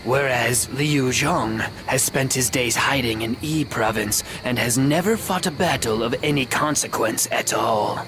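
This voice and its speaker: Man acting a slow, grave military advisor. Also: mid-range voice, music in the background, natural voice. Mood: critical. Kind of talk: dramatic video game voice acting.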